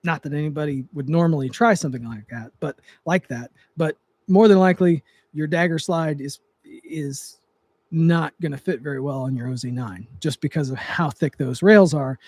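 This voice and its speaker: High-voiced man rambling on a livestream. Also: normal speed, a little mellow, dry recording. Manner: rambling, with frequent restarts and fillers. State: voice getting dry. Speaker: livestream host, trigger tuner. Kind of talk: casual livestream chat. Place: his home, seated in a chair.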